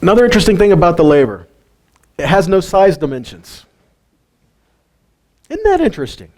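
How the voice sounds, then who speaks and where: A man with a slightly high voice teaches scripture in a relaxed, conversational way. He keeps firing rhetorical questions at his listeners and drops in the odd joke. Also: brisk. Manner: animated, asks rhetorical questions, jokes with listeners. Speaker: teacher of a weekly Torah portion. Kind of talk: informal Bible teaching.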